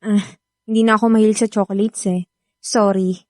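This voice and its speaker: A woman's voice: mid-range voice, little pitch movement, a little fast, a little mellow, no room sound, clean studio sound, close to an everyday voice. An actress playing an aloof, unimpressed young woman being courted in a Filipino radio drama, curt and dismissive, with a Filipino accent.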